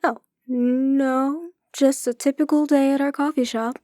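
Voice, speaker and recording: Audio drama role: actress as guarded, sharp-tongued woman wronged by her ex, curt and sardonic, soft-edged tone, close dry sound. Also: sits high, fairly even intonation, unhurried, no room sound. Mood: evasive, light.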